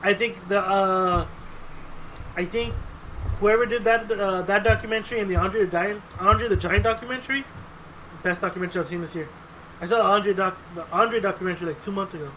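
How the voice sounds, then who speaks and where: A man's voice: high-pitched, brisk, bright, forceful tone, poor audio. A man chatting casually as a podcast host, emphatic and profane, often repeating his phrases. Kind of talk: casual chat. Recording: faint background noise.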